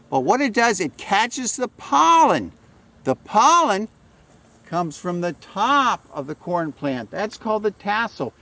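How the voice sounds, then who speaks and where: A man playing an excitable farmer who teaches children about the garden, asking questions and explaining. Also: sits high, full character voice. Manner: animated explaining, asks questions. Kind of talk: children's educational segment.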